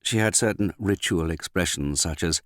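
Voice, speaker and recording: A man narrating a literary novel as an audiobook reader, with a measured, understated delivery and finely modulated voice. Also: low-pitched, fairly bright tone, no echo, clean studio sound.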